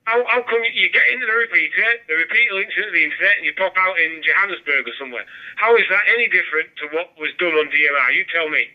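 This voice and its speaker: A man's voice: sits high, fast. A man with a northern English accent chatting casually over a digital two-way radio, rambling and jokey, with a muffled transmission sound. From Barrow-in-Furness, northern England. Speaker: amateur radio operator.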